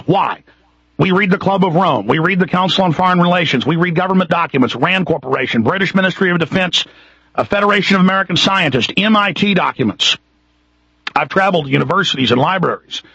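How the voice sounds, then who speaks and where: A man's voice: high voice, creaky, bright, forceful tone, husky, no room sound. A man with a Texas accent hosting a radio talk show, ranting emphatically and piling up repeated points. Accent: Texan. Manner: emphatic, repetitive, building intensity. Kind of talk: impassioned talk radio monologue. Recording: muffled radio broadcast.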